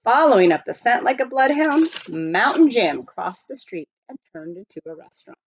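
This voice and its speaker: A woman reading a children's novel aloud as scripted narration, with expressive storytelling. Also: on the high side, normal speed, lo-fi sound, slight background noise. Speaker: audiobook reader.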